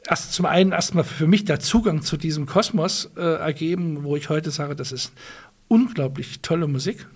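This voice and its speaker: A German-speaking man with a slightly raised pitch talks in an interview. He is a musician who thinks aloud as he answers, trailing into fillers and restarting his sentences. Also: normal speed. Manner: hesitant, many restarts and fillers, thoughtful. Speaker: musician and guitarist, interview guest. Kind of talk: conversational interview.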